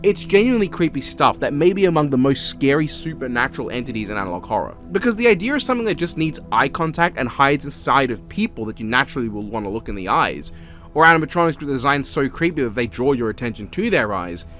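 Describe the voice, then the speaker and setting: A man's voice: mid-range voice, very animated, quick pace, a bit bright, faint music behind. An Australian man giving a casual, opinionated and sweary video-essay review as a voice-over.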